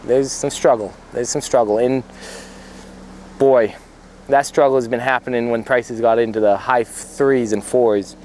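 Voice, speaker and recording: A man of medium pitch speaks conversationally, as a small business owner being interviewed. The location sound is clearly noisy. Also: steady tone, unhurried, a little mellow.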